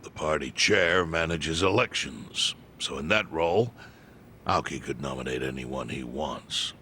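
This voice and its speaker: An actor playing a calm, knowing male informant who explains things in a measured way. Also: a bass voice, a little hiss or hum, full character voice. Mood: grave.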